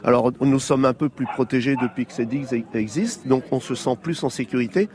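A middle-aged man, an island mayor from France, explaining calmly with some hesitation in an outdoor interview on a quay. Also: medium pitch, brisk, a crowd in the background.

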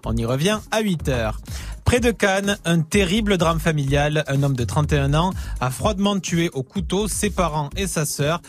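A man's voice: fairly high pitch, lively intonation, moderate pace. A man presenting a morning radio news bulletin in a lightly conversational style. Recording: music bed under the voice. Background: music.

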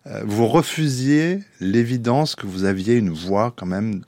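A man hosting a long cultural radio interview, putting his questions in measured, broken-up phrases. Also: on the low side, dark tone.

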